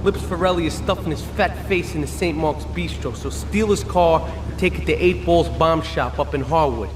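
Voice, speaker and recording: A man's voice: fairly high pitch, normal speed, noisy background, natural voice. An actor playing a cocky young male mobster in a video game, talking in casual wise-guy slang. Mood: cocky, scheming. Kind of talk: video game cutscene acting.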